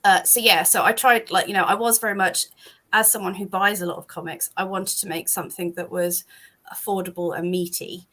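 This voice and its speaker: A British woman talking casually about her comic on a call, rambling with lots of ums and restarts, a bit hoarse from losing her voice. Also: on the low side, flat delivery, brisk, sharp tone. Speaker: comic creator guest. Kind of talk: casual chat on a video call.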